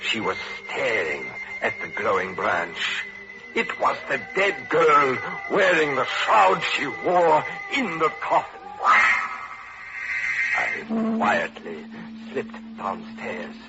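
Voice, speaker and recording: An actor playing a haunted, obsessive middle-aged murderer in an old radio horror play, halting and breathless in delivery. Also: male voice, medium pitch, very animated. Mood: haunted, feverish. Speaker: first-person narrator and lead actor. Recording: muffled vintage radio recording, loud organ music.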